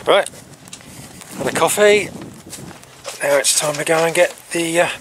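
A middle-aged man in a casual, rambling vlog, talking haltingly outdoors on a farm into a handheld camera. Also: on the high side, fairly bright tone, a little hiss or hum, low-quality recording. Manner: halting, breaking off to talk to animals. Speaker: farm vlogger. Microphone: handheld camera at arm's length.

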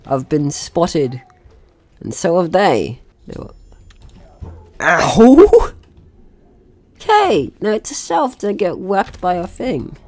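A man's voice: high-pitched, big pitch swings, takes it slowly, pauses often, a little mellow. A man giving casual gameplay commentary, chatting through his own actions in short remarks. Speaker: gaming video host.

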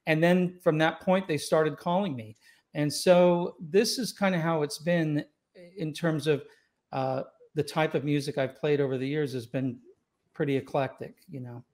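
A man's voice: on the high side, fairly even intonation, moderate pace, darkish tone. Easygoing older man reminiscing on a video call. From born in Scotland, raised in Los Angeles. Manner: easygoing, reflective, many fillers and restarts. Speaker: saxophonist guest. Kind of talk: relaxed storytelling interview. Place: home room with wooden doors and shelves. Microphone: studio mic on boom arm, video call.

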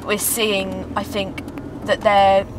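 Woman, thirties, thoughtful and halting interviewee, slightly off-mic with heavy vehicle noise. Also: mid-range voice, little pitch movement, recorded from a distance. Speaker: event organiser. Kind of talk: casual interview answers. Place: inside a car. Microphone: camera mic at close range. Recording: loud car noise, off-mic camera audio.

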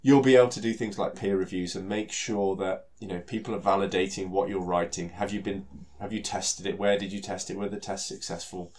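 A man's voice: sits low, brisk, few pauses. Stuffy-nosed British man with a cold. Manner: relaxed, many fillers and repeated words. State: has a cold, nasal and stuffy. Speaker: solution architect giving a technical talk. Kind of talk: conversational technical presentation.

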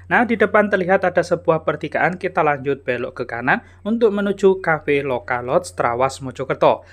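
A man's voice: a little high, fairly bright tone, dry recording. A man narrating a travel vlog as a voice-over, guiding viewers instructively and addressing them as friends. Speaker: travel vlogger.

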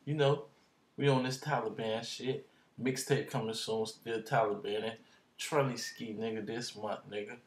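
A young man talking into his webcam in a dark bedroom, bragging in slang, half-singing some lines. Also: mid-range voice, steady tone, unhurried, roomy sound. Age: young adult. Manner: boastful slangy banter, drifts into half-sung lines and laughs. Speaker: vlogger. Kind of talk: casual rambling vlog. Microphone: computer webcam up close.

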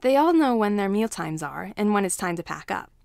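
A woman's voice: medium pitch, big pitch swings, brisk, vocal fry, a little mellow, no echo, studio quality. A woman narrating a scripted story as a fan fiction reader.

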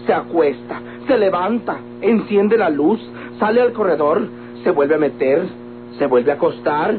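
An actor playing a meddling, timid and devoted Mexican manservant, prim and whiny, in old radionovela style. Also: male voice, high voice, animated. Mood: pleading, indignant. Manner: whiny, effusive, prim, drawn-out pleading. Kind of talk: golden-age Mexican radionovela comic acting. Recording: old tinny radio recording with music.

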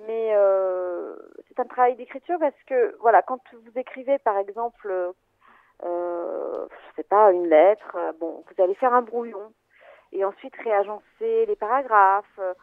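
A woman film editor speaking over a thin, phone-like line that sounds dry, with no room echo. She talks slowly and thoughtfully, pausing on fillers and restarting her sentences as she explains her work. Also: medium pitch. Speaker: film editor, interview guest.

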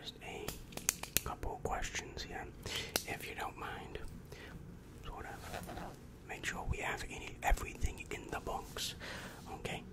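Casting: young male to play exasperated demon guidance counselor for ASMR roleplay; whispered, very slow, patronizing, close-mic. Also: close to an everyday voice, rough recording. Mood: businesslike. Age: young adult. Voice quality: breathy whisper. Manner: slow, repetitive, patronizing reassurance. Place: small dim room indoors. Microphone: large microphone very close to mouth.

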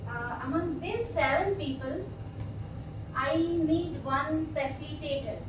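A young woman, hosting a workshop meetup and explaining a group game to volunteers, with many fillers. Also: sits high. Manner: speaks slowly with many fillers, explaining patiently. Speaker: meetup facilitator. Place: hotel meeting room, indoors. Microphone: far camera. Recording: echoey room from far off, noisy.

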